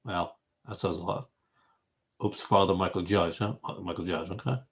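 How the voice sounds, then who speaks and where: A man's voice: deep voice, moderate pace. A man in his fifties from Massachusetts hosting a casual online fellowship chat, rambling and thinking aloud with lots of fillers while he responds to viewers' comments. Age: 50s. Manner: reacts to viewers' comments as he goes. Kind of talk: casual livestream group conversation.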